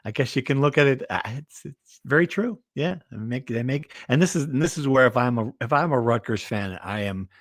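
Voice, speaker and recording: A man's voice: mid-range voice, expressive, fairly quick, hardly any pauses, no echo. Male podcast co-host, dry close sound. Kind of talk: casual sports discussion.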